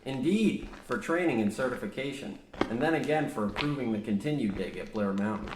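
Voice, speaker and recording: A man's voice: medium pitch, lively intonation, brisk, natural voice. An actor playing a businesslike young mine surveyor, a polite, matter-of-fact straight man in a comedic radio play. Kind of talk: parody of old radio drama acting. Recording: roomy echo, faint noise.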